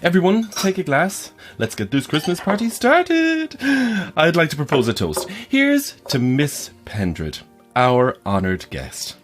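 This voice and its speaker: An eager, slightly awkward male party host in a naturalistic audio drama. His pitch moves a lot, and music plays clearly behind him. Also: huge pitch swings, a little slow. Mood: eager, convivial.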